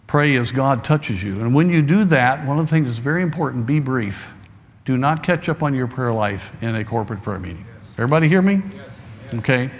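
A man's voice: medium pitch, brisk. Earnest man preaching in a reverberant sanctuary. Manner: earnest, exhorting, animated gestures at the pulpit. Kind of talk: sermon. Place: large church sanctuary, behind a wooden pulpit. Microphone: pulpit microphone. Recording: long hall reverb in a large sanctuary.

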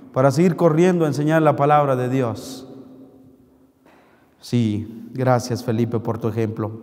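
A middle-aged man with a Mexican accent, a priest giving an animated homily at Mass, joking and scolding with rhetorical questions into a handheld mic. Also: mid-range voice. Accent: Mexican Spanish. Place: large church, indoors. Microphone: handheld microphone. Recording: long church reverb.

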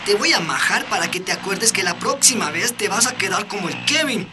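A bullying teenage gang leader in a radio play, acted by a man, with a Bolivian accent. His voice is very high for a male, slangy and commanding. Music runs behind him. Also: expressive, fairly quick. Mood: sneering.

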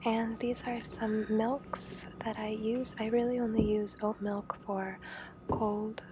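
Woman, whispered ASMR kitchen tutorial, slow gentle delivery, noticeable background noise. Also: fairly high pitch, level tone. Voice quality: soft unvoiced whisper. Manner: gentle, unhurried, explains each step. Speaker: home coffee maker demonstrating. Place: home kitchen, indoors.

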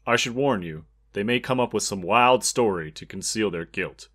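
Folksy cop villain, lowish voice, smiling menace, intimidating audiobook dialogue played by a man. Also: normal speed, fairly bright tone. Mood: falsely friendly. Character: policeman, folksy, falsely friendly, menacing adult villain.

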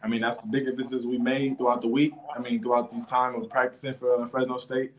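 Easygoing young man, flat delivery. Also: medium pitch, fast talker, low-quality recording. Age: young adult. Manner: easygoing, fills with "you know" and "man". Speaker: college defensive back. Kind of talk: post-game press conference answers.

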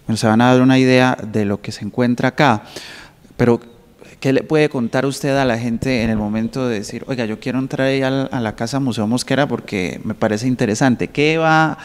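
A man interviewing for television asks long, winding questions in a mid-pitched voice. His voice carries a long reverb from the room around him. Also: brisk.

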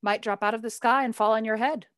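A woman's voice: a little high, steady tone, fast talker, dry recording, studio quality, natural voice. A woman playing a playful, sarcastic grown son in a radio play. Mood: wry, playful. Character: Herbert, teasing grown son in a family.

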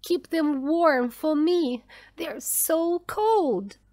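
A woman's voice: fairly high pitch, sing-song, takes it slowly, a bit bright, exaggerated voice. A woman voicing a desperate, pleading mother in a storybook, crying out insistently. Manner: screaming, insistent, imploring. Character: desperate, pleading mother stranded on storm-beaten rock.

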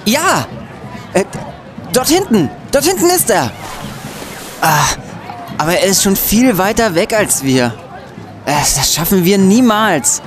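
A man's voice: high-pitched, very animated, measured pace, pauses often, a crowd in the background, background noise. A man playing an easily amazed, grumbling young student detective in a radio play, hesitant and exclaiming. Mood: eager. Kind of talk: scripted radio play acting.

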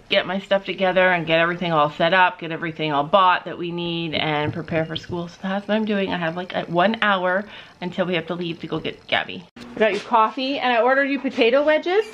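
A woman's voice: on the low side, fairly quick, a bit bright. A woman in her fifties from Canada, a mother hosting a family vlog, chatting in a rambling way. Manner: chatty, rambling, coos at animals. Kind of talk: casual vlog chat. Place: home office, then car and horse barn. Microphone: handheld camera at arm's length. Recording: dull lo-fi camera audio, faint background noise.

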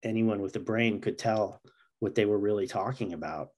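A middle-aged man speaking as an interview guest, earnest and halting, in conversation over a video call. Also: on the low side, darkish tone. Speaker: interview guest, comic writer.

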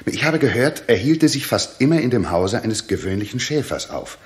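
An actor playing a commanding, troubled middle-aged king in a 1960s radio drama, stately and deliberate. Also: medium pitch, big pitch swings, lightly acted. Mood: worried, scheming.

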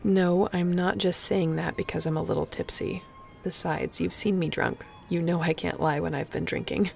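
Woman acting a snarky, gravel-edged space captain. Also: fairly low pitch, steady tone, normal speed, darkish tone, soft background music. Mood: sincere, affectionate. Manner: casual, teasing, conversational. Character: snarky, affectionate woman space captain, guarded but warm. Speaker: voice actor in a sci-fi audio roleplay. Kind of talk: intimate audio roleplay acting.

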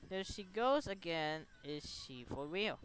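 A man hosting a gaming video, reading game text aloud and commenting in short, halting reactions. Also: a little high, lively intonation, a bit bright, slight background noise, low-quality recording.

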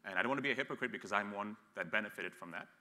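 A man in his thirties with a very low, bright and forceful voice, talking bluntly on a panel stage. He restarts and repeats words as he goes. His voice rings with the long reverb of a hall. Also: rapid-fire. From grew up in Ethiopia. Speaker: panelist, agribusiness entrepreneur. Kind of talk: candid panel talk. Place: studio stage set, seated in an armchair.

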